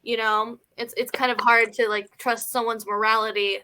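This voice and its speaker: A woman's voice: on the high side, flat delivery, normal speed, sharp tone, low-quality recording. A woman in a casual film discussion, talking in a hedging way peppered with "like" and "you know". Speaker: film review panelist.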